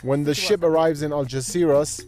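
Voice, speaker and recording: A man's voice: fairly high pitch, normal speed, close to an everyday voice. Man voicing young migrant's testimony over music. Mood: plain recounting. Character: young Moroccan migrant man recounting border crossings. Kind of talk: translated first-person documentary narration. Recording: music under the voice.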